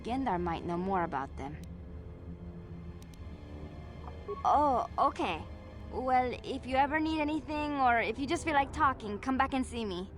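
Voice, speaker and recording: A woman's voice: sits high, lively intonation, moderate pace, soft background music. A woman voicing a wistful, kindly young slum villager in a video game, speaking earnestly. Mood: friendly, eager. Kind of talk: video game dialogue acting.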